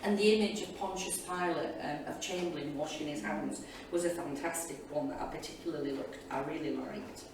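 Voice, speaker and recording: A woman's voice: sits low, steady tone, a little fast, hardly any pauses. A woman speaks as a panelist in a noisy, heavily reverberant hall, some way from the mic. Her delivery is informal and enthusiastic, wandering from point to point like a teacher thinking aloud. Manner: chatty, enthusiastic, digressive. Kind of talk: informal spoken reflections to an audience. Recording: echoey hall, distant mic, loud room noise.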